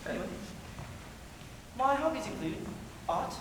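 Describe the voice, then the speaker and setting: A man's voice: sits high, sing-song, measured pace. A man playing a pretentious bearded Texan who puts on a posh British accent in a lofty, affected way. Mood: haughty. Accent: put-on posh British. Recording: echoey hall, far from mic, loud noise.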